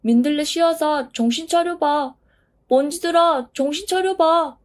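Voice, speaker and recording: A woman voicing a high, tender little wind. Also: unhurried. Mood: urgent. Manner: earnest and emotional. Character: small gentle wind, tender and sensitive. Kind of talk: storybook voice acting.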